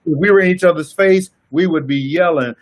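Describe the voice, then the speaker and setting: A man, a married guest, telling stories in animated casual talk. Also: on the high side, brisk. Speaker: guest husband. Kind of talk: casual conversation.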